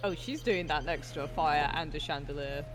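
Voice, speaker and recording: A man's voice: a little high, moderate pace. A man co-hosting a podcast, casually reacting to a film while it plays. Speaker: podcast co-host. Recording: podcast with film soundtrack behind. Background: film audio and music.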